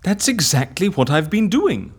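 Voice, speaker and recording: An actor playing a vain, pompous, excitable schemer in an audio comedy, with a dramatic manner. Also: male voice, high voice, normal speed, slightly rough, heavily acted. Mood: insistent excitement. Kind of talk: audio comedy fiction acting.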